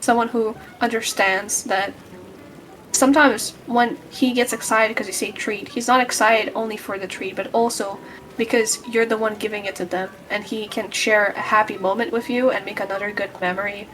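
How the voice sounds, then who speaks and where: A woman's voice: mid-range voice, fairly even intonation, normal speed, fairly bright tone, a little hiss or hum, poor audio. A woman chatting casually on a livestream as a guest, teasing and rambling, often stumbling over her words. She is a non-native English speaker. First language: not English. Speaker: guest streamer. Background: music.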